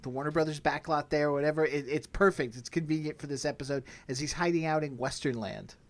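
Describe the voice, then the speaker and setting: A man's voice: on the high side, fairly even intonation, quick pace. Man hosting a casual review podcast. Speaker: podcast host.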